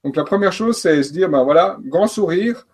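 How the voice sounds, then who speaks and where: A man's voice: high-pitched, animated, normal speed, a little mellow, breathy edge. A man who works as a coach and trainer, explaining learning methods in a panel talk, with frequent fillers and restarts. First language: French. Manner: hesitant, many fillers and restarts.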